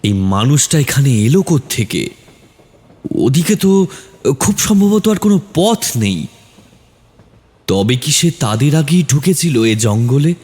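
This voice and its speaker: Male narrator, mid-pitched and highly expressive, suspenseful delivery for scripted horror storytelling. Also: measured pace, long pauses. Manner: dramatic, suspenseful.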